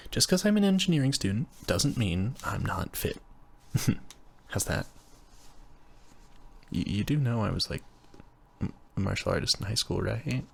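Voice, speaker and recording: A man acts out a young, nerdy engineering student with a low-pitched voice. He rambles and stammers through casual roleplay chat, flustered and quick to poke fun at himself, as if talking to a roommate. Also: vocal fry, slightly rough, full character voice. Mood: mock-indignant. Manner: stammering, rambling, self-deprecating. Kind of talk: intimate roleplay audio, casual scripted chat.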